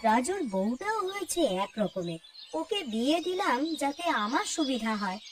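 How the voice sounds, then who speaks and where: A woman's voice: high-pitched, sing-song, moderate pace, music in the background. A woman playing a complaining older mother-in-law. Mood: aggrieved. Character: older mother-in-law, nagging housewife, aggrieved but indulgent. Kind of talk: Bengali children's cartoon dialogue.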